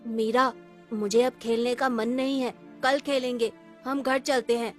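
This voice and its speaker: A woman's voice: a little high, level tone, measured pace, faint music behind, natural voice. A woman voicing an innocent, downcast poor little boy in a cartoon story, subdued, earnest and childlike.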